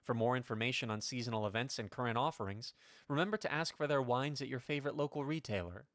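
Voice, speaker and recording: Give me a male radio announcer with a medium-pitched voice reading sponsor copy, polished and promotional, recorded very dry. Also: fast talker, a bit bright, no room sound.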